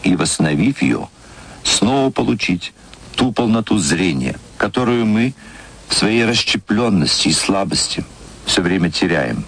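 Husky, low-voiced man in a spiritual talk. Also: very animated, fairly bright tone. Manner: measured and thoughtful. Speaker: speaker in an archive radio talk.

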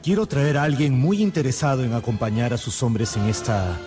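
An actor playing a serious, resolute musketeer in a radio drama. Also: male voice, mid-range voice, moderate pace, music in the background, close to an everyday voice. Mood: resolute, urgent.

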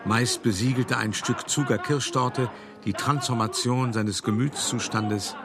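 An actor playing a self-important older art dealer who tells of his past in an expressive, articulate, wry monologue for a radio play. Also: medium pitch, unhurried, over music, lightly acted. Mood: wry narration. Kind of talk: theatrical first-person monologue, storytelling.

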